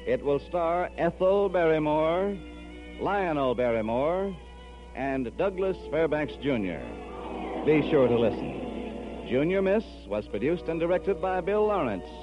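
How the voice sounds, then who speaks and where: A man's voice: fairly high pitch, lively intonation, unhurried. A man hosting a radio show with formal, courteous, emphatic scripted announcing in the 1940s style. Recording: muffled vintage radio broadcast with music.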